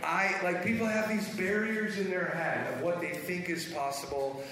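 A slightly hoarse man, a pastor, talks with animated energy to a conference audience, often restarting his sentences. His voice is on the higher side for a man. He is heard from a distance in a very echoey hall. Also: moderate pace, a crowd in the background. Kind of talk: conference panel talk.

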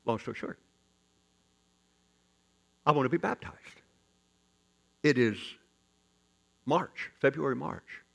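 Male pastor, gravelly fry, mid-pitch, folksy joking sermon with loud bursts. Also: slow, pauses often. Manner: folksy, joking, animated preaching with sudden loud rises. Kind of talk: storytelling sermon.

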